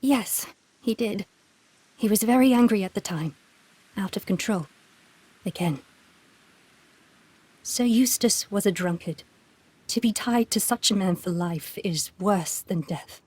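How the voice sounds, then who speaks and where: A woman's voice: medium pitch, measured pace, pauses often, darkish tone, husky, no room sound. A woman voicing an unhappy aristocratic Victorian widow with a formal, refined, plaintive manner in a video game. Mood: bitter, sorrowful. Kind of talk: video game cutscene acting.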